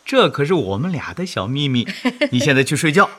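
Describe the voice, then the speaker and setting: An actor playing an earnest, deferential, homesick soldier in a radio drama, with emotive delivery. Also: male voice, fairly high pitch, big pitch swings, a little fast, fairly bright tone. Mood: warm, playful. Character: earnest, homesick younger male soldier, deferential to his superior. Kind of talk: Chinese radio drama acting.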